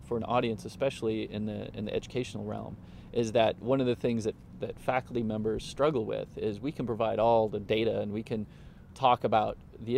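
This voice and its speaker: Low, gravelly-edged man, thoughtful professor. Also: darkish tone, slight background noise. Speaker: professor reviewing a film. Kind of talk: conversational interview, reflective review.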